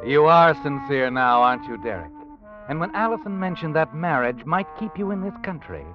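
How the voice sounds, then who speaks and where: A knowing, dramatic narrator from a classic radio thriller, needling a schemer in a sly, accusing way. His fairly high voice moves through wide swings of pitch. Loud brass music plays behind it on a dull vintage recording. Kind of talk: old-time radio suspense narration.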